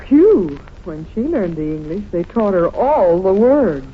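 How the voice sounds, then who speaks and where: Sassy secretary on noisy old radio. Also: female voice, medium pitch, very animated, unhurried. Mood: amused, sardonic. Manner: sardonic, slangy quips. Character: sassy, wisecracking female detective's secretary. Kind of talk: old-time radio detective drama. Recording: tinny, hissy vintage radio recording.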